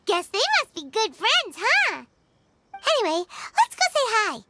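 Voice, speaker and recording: Tiny fairy sidekick, piercingly high, hugely animated, childlike, chirpy, curious, anime game. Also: female voice, takes it slowly, very noisy, full character voice. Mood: cheerful. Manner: refers to self in third person, exclamatory. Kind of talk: anime video game voice acting.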